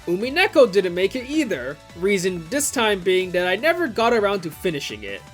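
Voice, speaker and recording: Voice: medium pitch, big pitch swings, fairly quick, faint music behind. A video creator giving scripted commentary in an informal, wry way. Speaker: video creator reviewing visual novels.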